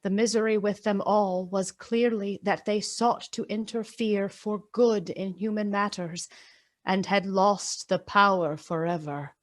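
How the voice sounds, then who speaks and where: A woman giving measured, expressive scripted narration as the storyteller of a radio drama. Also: medium pitch.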